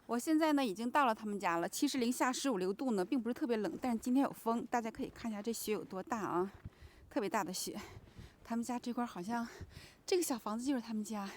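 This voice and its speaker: A woman's voice: a little high, quick pace. A woman hosting her own channel, chatting as she walks through the snow to a home and then asking interview questions. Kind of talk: vlog narration and interview questions. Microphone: handheld camera.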